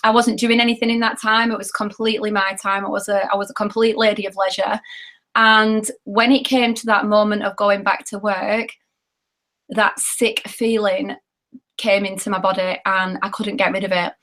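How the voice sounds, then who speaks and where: Hoarse British woman, chatty and candid. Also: mid-range voice, level tone, normal speed, a bit bright. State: hoarse, voice nearly lost. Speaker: network marketing seller sharing her story.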